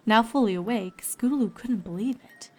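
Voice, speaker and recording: A woman's voice: fairly high pitch, normal speed, darkish tone. A woman storyteller reading scripted fiction aloud, with ambient noise behind her.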